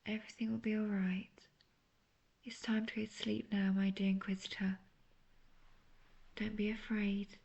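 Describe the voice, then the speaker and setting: A woman playing an obsessive, manipulative witch in an intimate roleplay audio drama, speaking in a breathy, coaxing, often half-whispered way. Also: mid-range voice, little pitch movement, moderate pace, long pauses, a little mellow, highs cut off, close to an everyday voice. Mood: hushed, soothing. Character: obsessive young female witch, lovestruck and manipulative.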